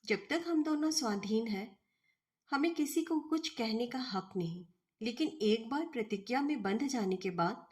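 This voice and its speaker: Woman reading blunt, slow-spoken cynical male professor. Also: a little high. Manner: blunt, argumentative, deliberate. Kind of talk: storybook dialogue reading.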